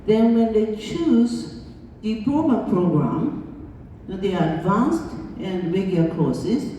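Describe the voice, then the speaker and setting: A Japanese woman, speaking English as a second language, gives a slow, chatty conference lecture and laughs along as she talks. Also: mid-range voice, big pitch swings, warm tone, slight background noise. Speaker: international school board chair giving a lecture. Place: large conference hall. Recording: echoey hall, voice heard from far off.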